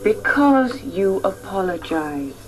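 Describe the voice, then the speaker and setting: A woman's voice: mid-range voice, lively intonation, slow pace, background noise. A woman playing a preoccupied, patient but firm mother in a radio drama, giving brief offhand answers.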